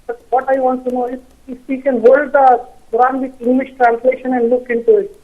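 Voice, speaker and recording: A man from India phones in to a live show, his voice thin and tinny down the line. He speaks in a flat, even tone. He pauses often, searching for his words. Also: very high-pitched. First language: not Arabic. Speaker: caller.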